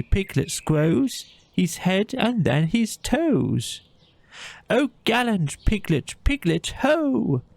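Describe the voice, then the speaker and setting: A man voicing a gentle, thoughtful bear who fancies himself a poet, speaking hesitantly in a storybook audio play. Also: sits high, gravelly, no room sound, pitched above the performer's own voice, heavily acted. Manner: hesitant, thoughtful, with small ums. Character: gentle, musing bear of little brain, amateur poet.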